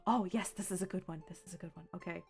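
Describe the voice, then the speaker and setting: A woman's voice: fairly low pitch, fast, a little mellow, soft background music. A woman hosting a casual livestream chat, gushing and giggly. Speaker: streamer hosting.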